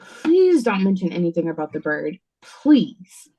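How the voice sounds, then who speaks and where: A woman's voice: lowish voice, very animated, measured pace, dark tone, breathy edge, full character voice, low-quality recording. A woman playing a guarded, sarcastic waitress in an audio drama read-through, curt and exasperated. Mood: irritated. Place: remote reading over a video call. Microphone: video call.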